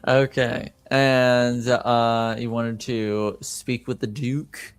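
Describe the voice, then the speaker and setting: A man's voice: medium pitch, little pitch movement, very slow, clear, resonant tone. A man acting as game master, improvising narration and chatting casually, feeling out his phrases hesitantly.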